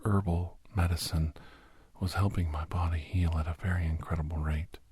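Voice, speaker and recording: Low, very breathy man narrating softly. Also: steady tone, normal speed, no echo. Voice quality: breathy, whispery. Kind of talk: ASMR first-person story narration.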